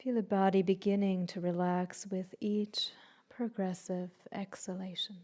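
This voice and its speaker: A woman with a soft, breathy voice leading a guided meditation in a soothing, unhurried way, leaving long pauses between short instructions. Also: mid-range voice, level tone.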